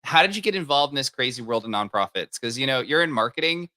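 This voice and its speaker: A man's voice: mid-range voice, lively intonation, fast talker, pushed and bright. A man hosting a live video stream, upbeat and chatty with plenty of fillers. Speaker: livestream host. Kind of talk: casual livestream hosting.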